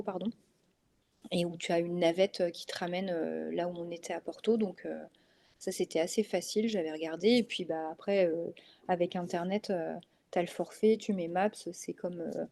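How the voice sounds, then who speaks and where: A woman's voice: lowish voice, steady tone, moderate pace, mellow. A woman in her thirties with a northern French accent, a mother of three, talking casually as a guest with many hesitations. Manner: hesitant, many fillers and restarts. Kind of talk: casual interview chat.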